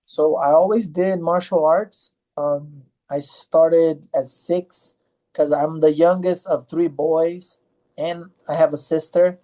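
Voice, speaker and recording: Man, from Brazil, thirties, fighter, rambling with fillers, raised voice, dark warm tone. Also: fairly high pitch, steady tone, slow, low-quality recording. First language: Portuguese. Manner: rambling, many fillers and restarts. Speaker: interviewee, fighter. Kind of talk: casual interview answers.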